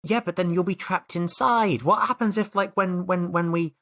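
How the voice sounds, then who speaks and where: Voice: mid-range voice, normal speed, fairly bright tone. A tabletop game player chatting casually in an emphatic way, often repeating words.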